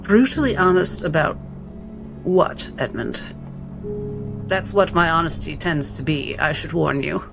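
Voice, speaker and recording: A woman's voice: deep voice, unhurried, gravelly, pauses often, rough recording. Breezy, haughty lady doctor, played by a woman, theatrical delivery, fantasy game with music behind. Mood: cool, wary. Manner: performative, theatrical.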